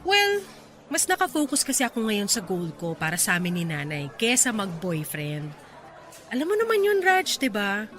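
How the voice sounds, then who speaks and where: A woman with a Filipino accent playing a hardworking, playful young woman in a radio drama, teasing and expressive as she chats. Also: medium pitch, normal speed, faint music behind. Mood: firm, defensive.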